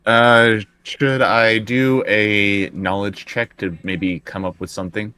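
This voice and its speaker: A man's voice: lowish voice, flat delivery, slow, pushed and bright, clean tone, dry recording. A man chatting casually as a player in a tabletop roleplaying game.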